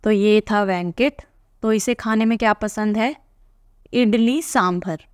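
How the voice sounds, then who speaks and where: A woman's voice: medium pitch, takes it slowly, no room sound, clean studio sound. A woman teacher reading aloud from a textbook and patiently explaining each answer to young pupils.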